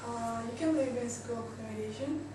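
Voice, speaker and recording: A young woman from South Korea with a Korean accent, presenting informally as an exchange student in careful, halting short phrases. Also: a little high. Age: young adult. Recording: very echoey noisy room, distant mic.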